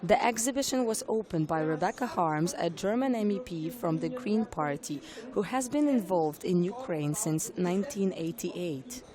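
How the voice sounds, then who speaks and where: A woman news reporter giving clear, formal scripted narration. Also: fairly low pitch, lively intonation, moderate pace, hardly any pauses, a crowd in the background.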